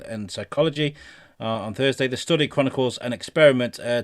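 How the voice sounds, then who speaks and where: A man hosting a casual comedy news podcast, reading stories aloud haltingly and dropping sweary asides. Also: mid-range voice. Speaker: podcast host.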